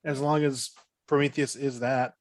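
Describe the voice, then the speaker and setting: Male panelist, average pitch, loose conversational chat, halting phrasing, very dry sound. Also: flat delivery, no echo. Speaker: podcast panelist. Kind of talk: casual group chat about TV shows.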